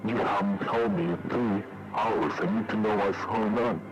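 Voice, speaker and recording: Distraught dad, played by a male actor: low, badly husky voice, answering machine filter, music behind, horror short. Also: fairly even intonation, normal speed, dry recording, muffled recording. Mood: anxious, pleading. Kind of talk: horror voice acting.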